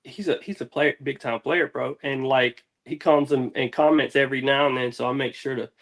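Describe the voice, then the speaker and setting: A man's voice: medium pitch, steady tone, fairly quick, fairly bright tone. A man with a mid-range voice chats as a guest on a music show. He is laid-back and slangy, rambling easily from one point to the next. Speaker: interview guest, music artist. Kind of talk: casual chat.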